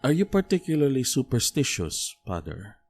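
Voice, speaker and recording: An actor playing a polite, earnest visiting priest in an audio drama, gentle, hesitant and formal. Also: male voice, medium pitch, wildly swooping pitch, normal speed, faint music behind. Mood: earnest, confessional.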